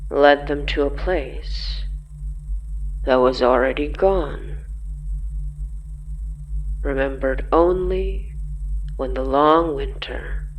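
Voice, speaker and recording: An actor playing an ominous horror storyteller in an audio drama, speaking in solemn, halting phrases with a gravelly, sometimes breathy voice. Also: medium pitch, highs cut off, a little hiss or hum. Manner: halting, solemn, deliberate phrasing, repeating for effect. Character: ominous, slow storyteller of a haunted cabin horror tale. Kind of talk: dramatic horror fiction narration.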